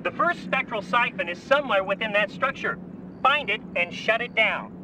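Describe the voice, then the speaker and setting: Distant high-voiced ghost scientist. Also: male voice, expressive, moderate pace, noisy background. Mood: dry. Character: eccentric nostalgic brainy male ghost scientist. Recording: distant radio-like sound under loud music.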